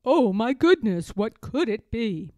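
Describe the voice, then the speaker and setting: A woman storyteller reading a children's picture book aloud in a dramatic, expressive way with suspenseful pauses. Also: a little high, no echo.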